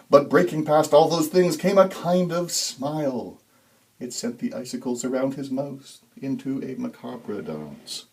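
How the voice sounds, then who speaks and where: A man giving a dramatic, deliberate reading of a scripted story as narrator, with suspenseful, expressive emphasis. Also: medium pitch, low-quality recording. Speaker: author reading own story.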